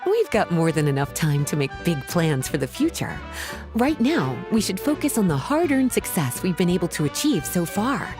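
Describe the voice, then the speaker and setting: A woman playing a confident, teasing lady scholar in an anime game, smooth and playful. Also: fairly low pitch, big pitch swings, moderate pace. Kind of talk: anime video game voice acting. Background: music.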